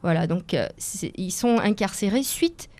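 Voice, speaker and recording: A woman's voice: medium pitch, lively intonation, unhurried, darkish tone, no echo. A woman in her forties, native Italian speaker, answering interview questions as a lawyer guest on the radio, hesitant with many fillers and careful phrasing. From France, Seine-et-Marne. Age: 40s.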